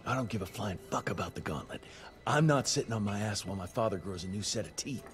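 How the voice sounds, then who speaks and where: A man's voice: on the low side, fast talker, close to an everyday voice. A man playing a hot-tempered, stubborn gunslinger in a video game, blunt and foul-mouthed. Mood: angry. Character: defiant, hot-tempered male gunslinger, tough and loyal.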